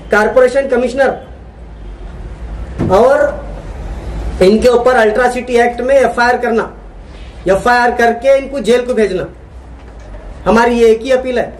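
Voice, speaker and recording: A man's voice: very high-pitched, slight background noise, poor audio. A man with a Deccani accent gives an indignant, accusatory protest statement to the press, hammering his demands repeatedly. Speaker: activist spokesman.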